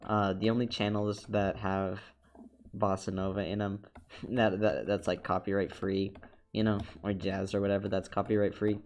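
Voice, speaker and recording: A man's voice: lowish voice, level tone, normal speed, a little mellow. A young man with a congested, nasal voice chatting casually as a streamer while he animates, rambling with repeated words and laughs.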